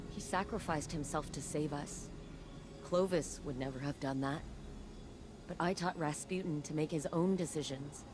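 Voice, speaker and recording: Grieving resolute sci-fi heroine, low female voice, reflective, measured, music behind. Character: grieving, resolute adult woman, sci-fi heir of a troubled family. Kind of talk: scripted dramatic game dialogue and narration.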